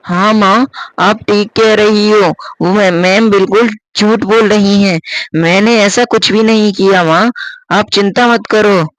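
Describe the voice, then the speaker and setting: A man's voice: sits high, fairly even intonation, a little slow, fairly bright tone, no echo, pitched above the performer's own voice, low-quality recording. A man voicing a hot-tempered, defiant schoolgirl in a spoken story, with a brash, quarrelsome manner. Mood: pleading. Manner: brash, quarrelsome, pleading when accused.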